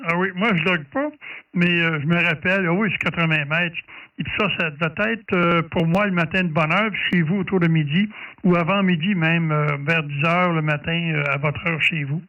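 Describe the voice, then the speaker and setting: A man's voice: on the high side, steady tone, moderate pace. Distant Quebec man on muffled radio. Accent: Quebec French. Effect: ham radio transmission. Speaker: amateur radio operator. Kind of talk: casual ham radio exchange.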